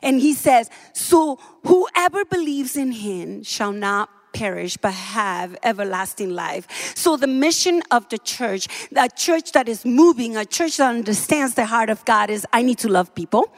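A woman from the Dominican Republic preaching a sermon in a church, fervent and building to shouts. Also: on the high side. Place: church sanctuary.

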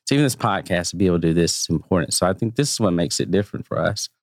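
A man, a startup founder, talking in a relaxed, easygoing way in a podcast interview. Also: sits low, rapid-fire, no room sound, clean studio sound. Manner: relaxed, easygoing, laughs easily.

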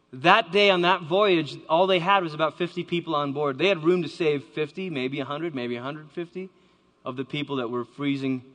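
A man tells a story on stage to a live audience, in a voice a little higher than most men's. He acts out every voice he quotes, with a dramatic storyteller's timing. A long reverb from a large hall trails behind each phrase. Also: fairly bright tone. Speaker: speaker.

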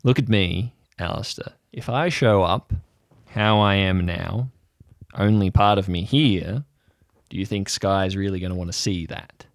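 A man plays a doomed old sailor in an improvised audio drama. He is gruff and curt, stubbornly set on his grim course, with a low voice recorded very dry. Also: measured pace, no room sound. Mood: grave, insistent. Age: older. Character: stubborn, nihilistic old sailor uncle, bent on self-destruction.

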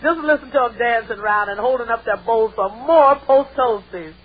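A muffled old radio recording of a wisecracking housemaid, played by an actress. She talks in a folksy drawl and is quick with a quip, in broad mid-century sitcom style. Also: on the high side, a little hiss or hum, natural voice. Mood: cheerful selling. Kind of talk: 1940s-50s radio sitcom acting. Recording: dull, lo-fi vintage radio recording.

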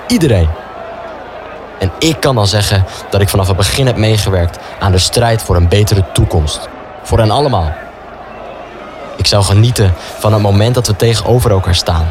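A man's voice: on the low side, background noise, lightly acted. A young man playing a stubborn, idealistic student in a wartime radio play, earnest and self-justifying. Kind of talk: radio play dialogue and inner monologue.

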